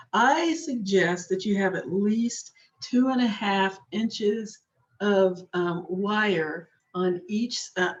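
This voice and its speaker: Woman, craft instructor, unhurried, slightly hoarse, dull lo-fi camera audio. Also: mid-range voice. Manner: patient, chatty, talks while working with her hands. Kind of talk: slow step-by-step craft demonstration.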